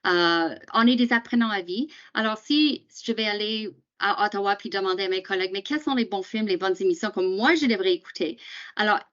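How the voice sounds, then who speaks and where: A woman's voice: medium pitch, brisk, fairly bright tone, highs cut off. Chatty woman presenting a teacher webinar. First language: English. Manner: chatty, fillers, rhetorical questions to attendees. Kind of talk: screen-sharing webinar presentation.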